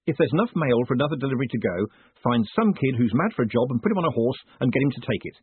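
A man as a brisk, scheming young con man. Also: mid-range voice, lively intonation, husky, dry recording. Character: quick-thinking young male con man turned postmaster. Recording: dry, tinny phone-like sound.

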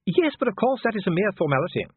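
Man doing a flustered, high-pitched dwarf official. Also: very animated, fast, husky, no room sound, phone-quality sound, full character voice. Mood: sullen. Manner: hesitant, muttering, indignant. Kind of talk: audiobook character voice.

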